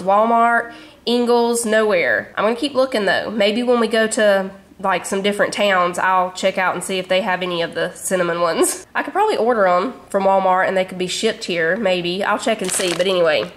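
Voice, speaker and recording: A woman's voice: mid-range voice, a little hiss or hum. Chatty Southern woman in her thirties. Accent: Southern American. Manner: chatty, folksy, addresses viewers as y'all. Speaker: vlogger. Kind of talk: casual grocery haul chat. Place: home kitchen, indoors.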